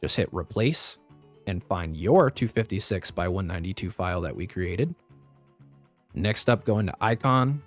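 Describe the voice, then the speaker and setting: A man with a slightly raspy voice, recovering from the flu, giving a step-by-step software tutorial as a voice-over. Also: low voice, animated, moderate pace, faint music behind. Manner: step-by-step instructive, plain and practical. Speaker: tutorial host. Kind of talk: screen-recorded software tutorial.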